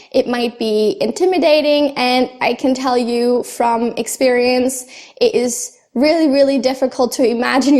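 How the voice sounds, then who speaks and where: A young woman shares her language-learning advice to camera. Her voice sits high, and she talks in an earnest, chatty way with easy fillers and small laughs. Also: darkish tone. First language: German. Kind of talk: casual talk to camera.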